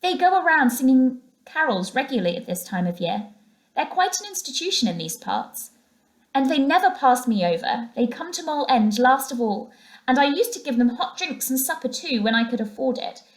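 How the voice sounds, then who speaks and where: A woman's voice: high voice, sing-song, reverberant, voice pushed up. A woman voicing an eager, homely and sentimental male mole who is easily flustered, in a children's storybook. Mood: proud, nostalgic. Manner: proud and nostalgic, quick to fret.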